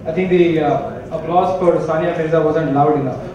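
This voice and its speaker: A middle-aged man interviewing a guest on a hotel conference stage, halting, with many pauses and restarts. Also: fairly high pitch, background noise. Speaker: interviewer and chapter chair. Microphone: handheld mic. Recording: echoey distant hall audio, muffled.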